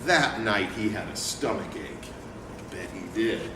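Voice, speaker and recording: A man presenting a picture book read-aloud and talking informally as an educator, with an expressive delivery. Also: fairly low pitch, measured pace. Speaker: educator and book company owner reading aloud. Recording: echoey room, distant mic, clear background noise.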